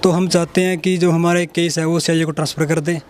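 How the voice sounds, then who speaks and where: A man's voice: on the high side, level tone, fast talker. A man being interviewed, giving an earnest, orderly statement. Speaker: interviewee, family member.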